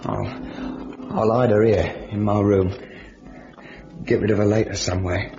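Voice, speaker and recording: An actor playing an earnest, hesitant young suitor who turns desperate, in old-time radio drama style. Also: male voice, fairly low pitch, steady tone, a little slow, highs cut off. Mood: anxious, agitated. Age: young adult. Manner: hesitant, stammering, pleading.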